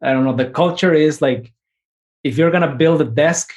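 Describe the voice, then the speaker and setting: A man, a composer talking through his ideas in a relaxed interview, often restarting phrases and ending with a questioning "no?". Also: fairly high pitch, moderate pace. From Mexico. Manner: thoughtful, many restarts and tag questions. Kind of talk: conversational interview.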